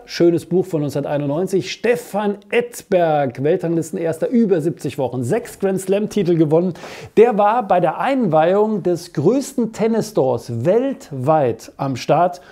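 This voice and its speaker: A man's voice: high voice, lively intonation, normal speed, warm tone. A middle-aged man hosting a sports show, chatty, colloquial and jokey with his viewers. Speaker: host of a weekly tennis show. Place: small cluttered basement studio.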